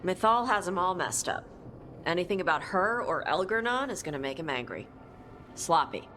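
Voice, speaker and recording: A low-pitched female game warrior, blunt and shrewd. She sounds dismissive, with faint background noise around her. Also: animated, normal speed, fairly bright tone. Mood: scornful. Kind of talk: video game cutscene acting. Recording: faint noise and ambience behind.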